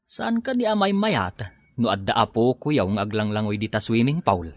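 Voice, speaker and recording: A man's voice: lowish voice, big pitch swings, normal speed, dry recording, highs cut off. A man playing a devout, respectful servant who counsels his employer in an Ilocano radio drama. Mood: respectful, probing.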